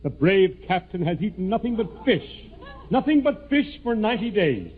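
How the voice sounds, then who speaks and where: A male announcer with a high voice declaims like a narrator of 1940s radio. He is mock-dramatic and very animated, heard through a tinny, narrow old recording. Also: moderate pace.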